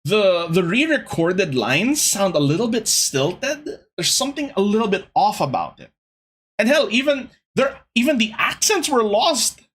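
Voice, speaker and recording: Young male podcast host, slightly high, husky delivery, animated casual rant to his chat. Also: unhurried. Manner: animated, exasperated ranting with laughs.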